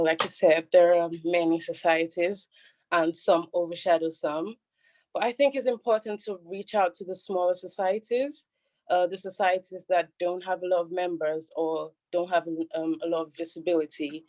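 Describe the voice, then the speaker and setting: A woman's voice: lowish voice, steady tone, moderate pace, a little mellow. A woman standing as a student candidate, answering on a video call in a repetitive way with many fillers. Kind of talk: answering a hustings question.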